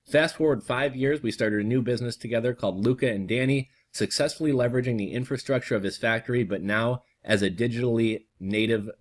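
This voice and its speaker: Hoarse young man reading aloud. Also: on the low side. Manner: reads steadily, then chats loosely with fillers. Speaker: vlogger. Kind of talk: reading an article aloud with casual asides.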